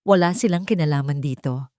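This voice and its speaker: A woman voicing a father who is holding back his anger, his voice hoarse and tight. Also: fairly quick, a little mellow, dry recording, studio quality, natural voice. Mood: restrained anger. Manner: hoarse and restrained, rising in pitch.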